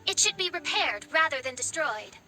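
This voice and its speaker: A woman's voice: sits high, sing-song, over music. A woman playing a poised young noblewoman in a video game, theatrical, analytical and formally spoken. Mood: thoughtful. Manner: eloquent, formal, measured.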